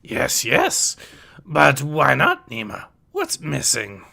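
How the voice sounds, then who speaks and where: A man's voice: a little high, huge pitch swings, slow, creaky, pushed and bright, heavily acted. A man voicing a small ancient alien Jedi master in a dramatic comic read-aloud, wise and solemn, with inverted word order and musing hums. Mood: solemn, teacherly.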